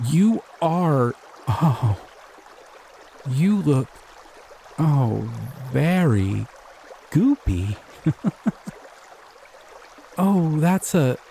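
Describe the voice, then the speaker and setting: A man voicing a gentle, curious male slime creature in an audio roleplay; he is soft-spoken, easily delighted and chuckles often. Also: on the high side, slight background noise, exaggerated voice.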